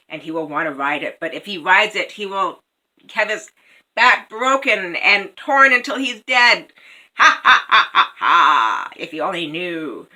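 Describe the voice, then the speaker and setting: A woman voicing a cruel, gleeful raven who cackles out a dark prophecy in a loud, high voice. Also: full character voice.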